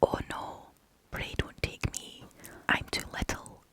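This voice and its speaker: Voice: slow pace, fairly bright tone, dry recording. A British storyteller voicing a tiny, timid young male billy goat from a storybook in a small, pleading whisper.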